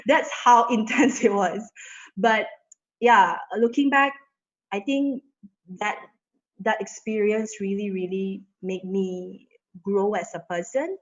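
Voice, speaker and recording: A woman's voice: medium pitch, fairly even intonation, slow pace. Chatty young woman on a video call. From Indonesia. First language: Indonesian. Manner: chatty, filler-heavy with frequent "you know". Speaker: panelist. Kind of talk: casual panel talk. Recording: slightly muffled video call audio.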